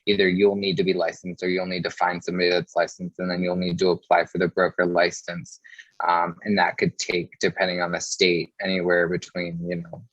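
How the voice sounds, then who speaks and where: A man explaining things casually as an interview guest, with a halting delivery, on a muffled, roomy recording. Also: low voice, fairly bright tone.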